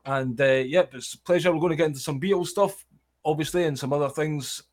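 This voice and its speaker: Scottish male host, excitable fast patter, casual interview over a call. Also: on the high side. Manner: excitable, talks fast, says wee. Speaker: podcast host.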